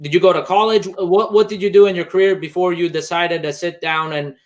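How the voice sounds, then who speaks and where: A man's voice: high voice, steady tone, a little fast. A man from Bosnia hosting a conversational interview in a reflective way. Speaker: podcast host interviewing a guest.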